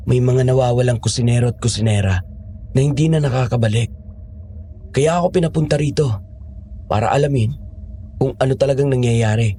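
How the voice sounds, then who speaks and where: A man's voice: medium pitch, brisk, long pauses, mellow, slight background noise, lightly acted. A man acting an earnest, guarded monster hunter posing as a cook in a horror audio drama. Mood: grave, confiding.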